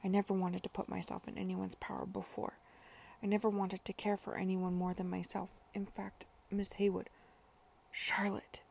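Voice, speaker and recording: A woman voicing a tender, earnest male suitor in a period romance audiobook, speaking in a hushed, intimate manner. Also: medium pitch, monotone, moderate pace, gravelly, husky.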